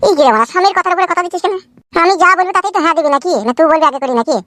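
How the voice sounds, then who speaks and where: A woman's voice: extremely high pitch, very fast, a bit bright, no room sound, pitched below the performer's own voice. A woman voicing a grumpy, bossy young husband in a comedy cartoon, talking down in a scolding way. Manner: scolding, condescending.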